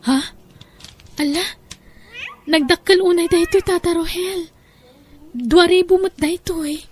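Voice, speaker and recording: A woman's voice: very high-pitched, takes it slowly. A woman playing a worried, hard-up village wife and devoted daughter in an audio drama, speaking with a Filipino Ilocano accent and a plaintive manner. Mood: grateful. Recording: music under the voice.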